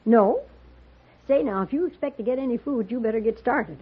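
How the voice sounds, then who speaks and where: An actress playing a plainspoken, bossy but hospitable frontier boardinghouse matron with a rural Western American accent in a radio drama. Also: female voice, on the high side, a little fast, husky. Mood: brisk, folksy. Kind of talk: 1950s radio drama acting. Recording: muffled vintage radio recording.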